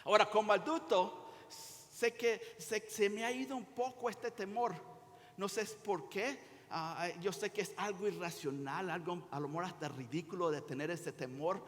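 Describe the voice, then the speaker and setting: An older Venezuelan man in his sixties speaks as a pastor in a reverberant church hall. His voice sits a little high for a man. He talks informally, pausing and repeating words as he goes. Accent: Venezuelan Spanish. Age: 60s. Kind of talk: sermon with anecdotes and prayer, conversational. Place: church hall during a service.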